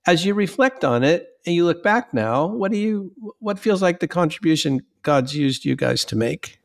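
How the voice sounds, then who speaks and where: A man's voice: a little high, expressive, normal speed, gravelly. A man hosting a podcast interview, a bishop who asks long, rambling questions full of fillers over a remote call. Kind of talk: conversational interview.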